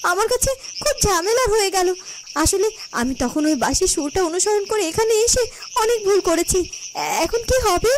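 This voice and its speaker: A woman's voice: very high voice, expressive, moderate pace, noisy background, voice pushed down. A woman voicing a righteous shapeshifting snake woman in a fairy-tale cartoon, dramatic and emphatic. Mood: anxious.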